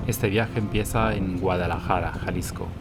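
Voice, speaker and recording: A man narrating a travel vlog in calm, measured, scripted voice-over. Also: low voice, over music.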